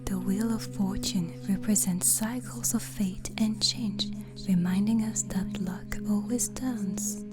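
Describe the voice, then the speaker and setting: A woman playing a gentle, hospitable witch in an ASMR roleplay, speaking in a breathy, soothing whisper. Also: mid-range voice, fairly even intonation, natural voice. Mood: calm, instructive. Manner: slow, deliberate, soothing. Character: gentle, hospitable witch hosting a guest overnight. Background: music.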